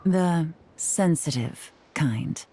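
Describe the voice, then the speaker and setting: Low, poised, secretive agent. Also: female voice, animated, drawn-out words, dark tone, a little hiss or hum, exaggerated voice. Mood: cool, coy. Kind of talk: video game character voice acting.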